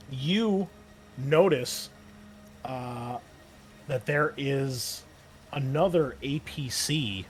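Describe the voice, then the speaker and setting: A man's voice: mid-range voice, a little hiss or hum. A man running a tabletop game as game master, narrating casually with many fillers.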